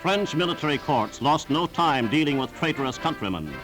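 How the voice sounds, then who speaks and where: A man's voice: on the high side, expressive, fairly quick, over loud music. A man reading scripted 1940s newsreel narration in a formal, emphatic, declamatory manner on a vintage soundtrack.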